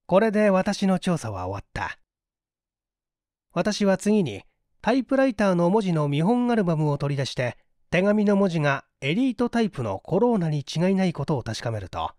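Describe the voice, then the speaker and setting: A man's voice: sits high, darkish tone, no echo, studio quality. A man reading a mystery story aloud as scripted literary narration, in a theatrical storytelling manner. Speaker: audiobook reader.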